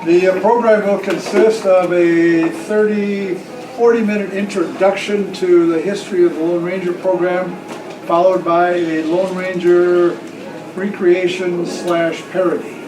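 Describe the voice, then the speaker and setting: Male program organizer, high-pitched, informal announcement, distant in an echoey, noisy room. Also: little pitch movement, unhurried. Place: large indoor luncheon room. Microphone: distant room microphone. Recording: echoey room, distant mic, background noise. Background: clear room noise.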